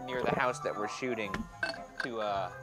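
A man's voice: fairly low pitch, steady tone, normal speed, over music. A man co-hosting a comedy show, joking goofily and swearing in loose banter. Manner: goofy, swears, bursts of laughter.

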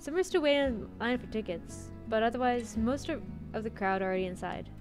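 A woman's voice: fairly high pitch, expressive, a little fast, music in the background. A woman streamer reading a visual novel's narration aloud into a close mic.

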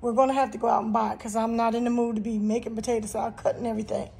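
A woman's voice: on the high side, little pitch movement, racing, creaky, dark tone, slightly rough. A woman vlogging a grocery haul in her home kitchen, chatty and casual, filming on a handheld phone. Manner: chatty, lots of "you guys" and "um". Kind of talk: casual chat to camera.

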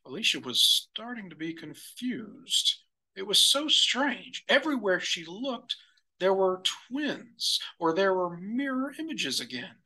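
A man narrates a children's storybook for storytime. His delivery is slow and deliberate, with lively swings in pitch. The voice is bright and forward. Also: poor audio. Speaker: storybook reader.

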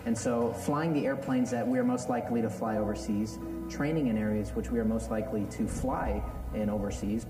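A young man, a flight student, giving a steady, detailed account in a documentary interview. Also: on the low side, fairly quick, hardly any pauses. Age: young adult. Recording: roomy, distant, under music.

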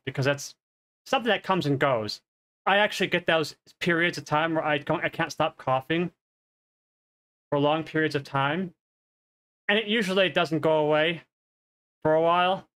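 A man's voice: a little high, moderate pace, a bit bright, clean tone. A man in his thirties giving a rambling, casual update on a headset, his voice nasal and congested. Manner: rambling, self-correcting. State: congested, coughing. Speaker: streamer. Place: home streaming room.

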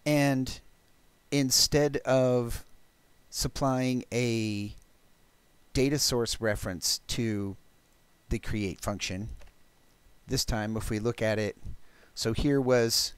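A man presenting a technical programming demo, explaining step by step in a halting, thinking-aloud way. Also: mid-range voice, fairly even intonation, clear, resonant tone, no room sound. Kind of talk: technical talk with live code demo.

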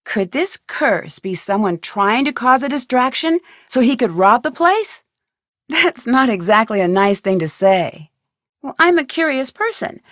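A woman's voice: a little high, big pitch swings, unhurried, a bit bright, no room sound, studio quality. A woman voicing a curious, blunt young female detective in a video game, asking direct, probing questions. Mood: inquisitive.

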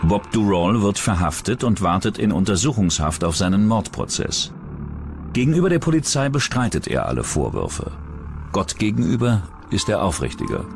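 Man, true-crime narrator, scripted delivery, low pitch, very varied intonation. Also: big pitch swings, normal speed, creaky, faint music behind. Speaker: documentary narrator.

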